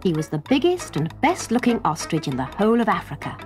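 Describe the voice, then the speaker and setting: A woman's voice: mid-range voice, big pitch swings, fast talker, a little mellow, faint music behind. A woman narrating a children's storybook as a storyteller.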